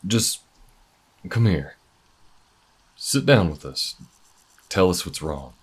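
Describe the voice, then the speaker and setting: Man playing very deep-voiced, cool bounty hunter. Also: very animated, slightly rough, heavily acted. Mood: gently coaxing. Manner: cool, measured, dry. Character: calm, skeptical armed human bounty hunter, young. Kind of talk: audio roleplay drama acting.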